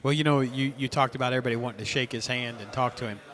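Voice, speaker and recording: A man hosting a podcast, chatting in a relaxed, easygoing way about his own experiences. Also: medium pitch, very fast. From North Carolina. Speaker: podcast host. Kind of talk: casual conversation.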